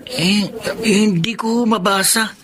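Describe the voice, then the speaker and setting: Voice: mid-range voice, steady tone, measured pace, noisy background, lightly acted. An actor playing a timid stammering teenage boy. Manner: hesitant, stammering, polite. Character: shy illiterate teenage boy, humble. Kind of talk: radio drama acting.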